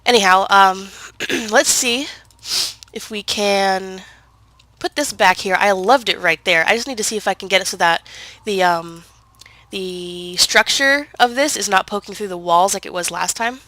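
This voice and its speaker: A woman's voice: mid-range voice, a little slow, bright, forceful tone, no room sound. Congested, chatty woman narrating gameplay. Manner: chatty, rambling, full of fillers and self-corrections. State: congested from a cold. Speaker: gaming video creator. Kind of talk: casual gameplay commentary.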